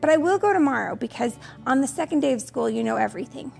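A woman's voice: high-pitched, lively intonation, quick pace, gravelly, faint music behind, full character voice. A woman voicing a stubborn, anxious young child in a storybook, insistent and pleading. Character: stubborn anxious young child, first-day school refuser.

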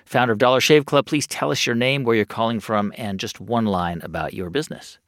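A man hosting a podcast advice line, upbeat and curious, asking quick follow-up questions. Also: medium pitch, a bit bright, no echo, clean studio sound. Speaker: podcast host.

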